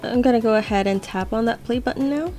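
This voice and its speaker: A woman's voice: a little high, quick pace, darkish tone, soft background music. A young adult woman hosting a tech tutorial, explaining steps casually. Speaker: host of accessibility tutorial videos. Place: small home office, indoors.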